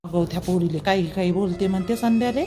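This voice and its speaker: A man's voice: high voice, fast, warm tone, soft background music, close to an everyday voice. A man voices a stern, fretting older village mother in a cartoon, complaining through rhetorical questions in a Vidarbha Marathi accent. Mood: indignant worry.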